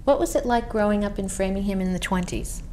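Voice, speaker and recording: Woman interviewer, courteous and measured, middle pitch, faint hiss. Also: a little mellow. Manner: polite, measured questioning. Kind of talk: oral history interview. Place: library room.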